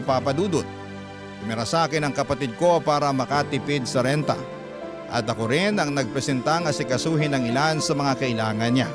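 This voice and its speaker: A man with a Filipino accent hosting a radio show, narrating a listener's letter as a dramatic story. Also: a little high. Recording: music bed under the voice. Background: background music.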